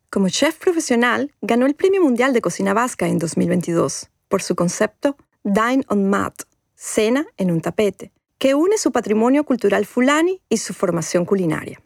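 A woman reads the narration of an educational podcast in a measured, formal way. Her mid-range voice has a gravelly edge. The studio recording is dry, with no room sound. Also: medium pitch, no echo. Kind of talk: scripted documentary narration.

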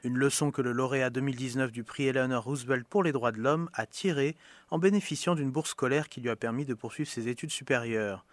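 A man's voice: medium pitch, a little fast, no room sound. A man presenting scripted radio news as the programme's presenter.

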